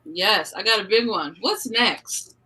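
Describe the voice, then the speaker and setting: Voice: medium pitch, a little slow, background noise. A guest co-host joining a casual live-stream chat, teasing and joking.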